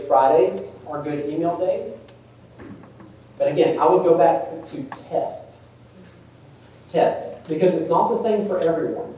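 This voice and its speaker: A man's voice: on the high side, dark tone. A man presenting a workshop at a nonprofit conference, talking casually with fillers. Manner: casual, conversational, many fillers. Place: large echoey conference room. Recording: echoey room, distant mic.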